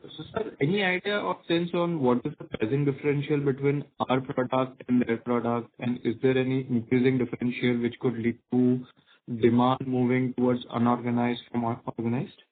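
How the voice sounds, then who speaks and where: Polite Indian analyst on a phone line. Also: male voice, mid-range voice, steady tone, brisk. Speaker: analyst asking questions. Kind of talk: formal questions on an earnings call.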